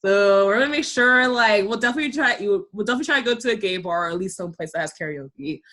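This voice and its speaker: A woman hosting a casual chat, animated and talking with a lot of "like" fillers. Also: medium pitch, quick pace. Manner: animated, heavy use of "like". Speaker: host.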